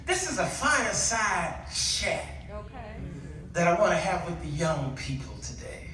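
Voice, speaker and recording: An older man giving a conversational storytelling sermon with dramatic pauses and homely acted-out dialogue. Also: high voice, very animated, a crowd in the background. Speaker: guest preacher. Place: church, picked up from a distance. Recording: echoey room, distant mic, noisy background.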